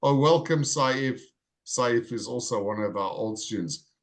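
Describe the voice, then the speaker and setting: A male English teacher runs his online class over a video call. He speaks at an ordinary mid pitch, slows down to repeat each student's name clearly, and keeps a friendly, encouraging manner.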